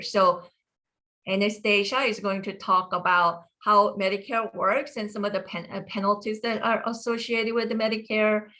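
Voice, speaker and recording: A woman's voice: medium pitch, sharp tone. A woman introducing a webinar guest in a casual, hesitant way with frequent restarts. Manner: hesitant, many fillers and restarts.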